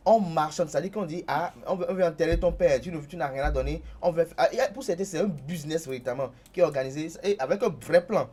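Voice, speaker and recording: Panelist: fast, insistent man, slightly high voice, faint hiss behind. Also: a little mellow. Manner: insistent, repeats words, cuts in. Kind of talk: studio panel discussion. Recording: faint background noise.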